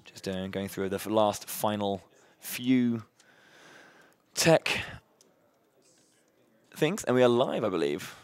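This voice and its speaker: A man hosting a live charity gaming marathon, loud-voiced and upbeat, chatting between runs with many fillers and restarts. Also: on the low side, expressive, slow pace, long pauses, clear, resonant tone, no room sound.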